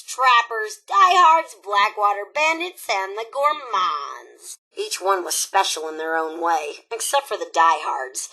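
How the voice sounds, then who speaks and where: Video game raider robot, female: bright, sharp, raspy voice, young-sounding, sarcastic, flippant and scheming. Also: mid-range voice, exaggerated voice, rough recording. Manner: sassy, slangy, mock-sweet, flippant. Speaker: quest-giving game character. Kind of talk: video game character dialogue.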